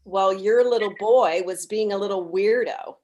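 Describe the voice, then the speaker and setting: A woman's voice: mid-range voice, normal speed, a little mellow, lo-fi sound, close to an everyday voice. A woman playing a polite but blunt mother in her forties, in a comedy script read. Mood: indignant. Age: middle-aged.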